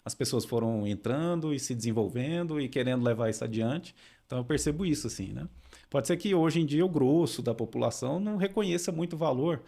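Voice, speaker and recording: A man, a philosophy teacher calmly explaining ideas as a guest in a podcast interview, often ending phrases with a questioning tag. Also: mid-range voice, vocal fry.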